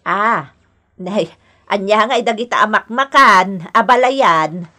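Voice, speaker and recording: An actress playing a demanding, scolding grandmother and mother-in-law in a radio comedy drama, with a nagging, drawn-out delivery. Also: medium pitch, bright, forceful tone, slight background noise. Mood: nosy.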